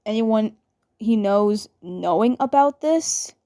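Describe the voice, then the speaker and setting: A woman narrating a role-play haltingly, stumbling over and repeating words. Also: medium pitch, expressive, dark tone.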